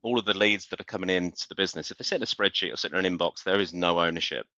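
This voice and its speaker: Male webinar expert, forceful low voice, explanatory, slightly dull call audio. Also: very fast, no echo. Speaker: industry expert panelist. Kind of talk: conversational business webinar discussion. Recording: dull, band-limited online call audio.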